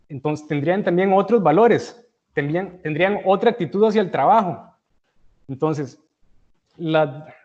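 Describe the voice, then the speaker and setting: A Costa Rican man explains a lesson to his students in a slightly high voice, working through everyday examples and often pausing to check that they follow. The sound is dull and lo-fi, as from an online call. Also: a little mellow. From Costa Rica. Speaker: teacher.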